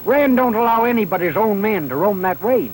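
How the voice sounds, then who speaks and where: Old Western trail hand, high lively rural drawl, rambling, on noisy vintage film sound. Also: male voice, a little fast. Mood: folksy, cautioning. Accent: rural American West. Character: folksy, talkative old frontier trail hand. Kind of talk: 1930s B-western film acting.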